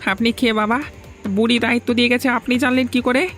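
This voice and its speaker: A man's voice: extremely high pitch, little pitch movement, a little fast, fairly bright tone, faint music behind. A man playing a timid, earnest young villager in a fairy-tale cartoon, with an anxious, questioning manner. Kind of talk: dramatic dubbed cartoon dialogue.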